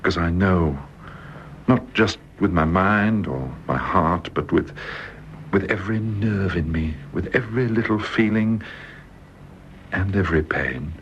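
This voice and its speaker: A British actor in a radio drama playing a bedridden husband, devoted, wistful and resigned, speaking earnestly and haltingly. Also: male voice, deep voice, a bit bright. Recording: dull lo-fi radio drama with hiss.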